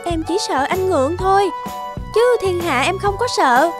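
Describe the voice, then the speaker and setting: A woman voicing a gentle, devoted young toad wife in a children's cartoon, reassuring and deferential in manner. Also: very high-pitched, normal speed. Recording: music under the voice.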